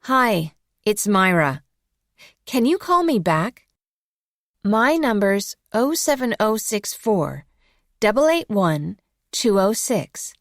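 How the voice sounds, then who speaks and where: A woman reading scripted example phone messages for language learners, enunciating clearly and carefully. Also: mid-range voice, sing-song, slow, long pauses, no room sound, clean studio sound. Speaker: English course voice artist.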